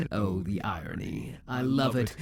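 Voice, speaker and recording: A man voicing a proud, vengeful male demon king centuries old, speaking slowly and theatrically in an audio roleplay, with hissing whispers at times. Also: fairly low pitch. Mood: gloating. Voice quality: very husky, breathy.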